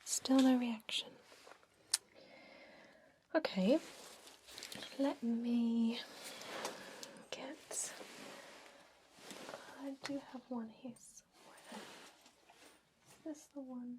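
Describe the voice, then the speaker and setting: A woman whispers slowly and breathily, close up, in an ASMR roleplay as a calm vampire doctor. She guides the patient step by step in a gentle, reassuring clinical manner. There is a faint layer of noise behind her voice. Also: fairly high pitch. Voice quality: breathy, mostly unvoiced whisper.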